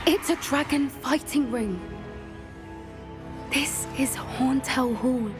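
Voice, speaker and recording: Brief: teenage witch for a fantasy game, plucky and inquisitive, fairly high voice, clear music bed. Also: slow pace. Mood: indignant, urgent. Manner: earnest, inquisitive. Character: brave, curious teenage witch, indignant about animal cruelty. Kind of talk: video game character acting.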